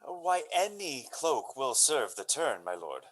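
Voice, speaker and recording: A man playing an earnest, courteous young gentleman lover in a Shakespearean radio drama, speaking florid verse. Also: mid-range voice, big pitch swings, slow pace. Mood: helpful, eager. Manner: hesitant openings, then florid Shakespearean verse.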